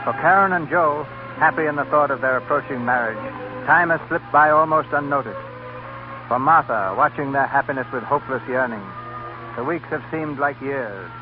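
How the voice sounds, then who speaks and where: A man's voice: mid-range voice, moderate pace. Casting: formal male narrator for 1930s radio drama, solemn delivery, dull vintage sound, music underneath. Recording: muffled 1930s radio broadcast.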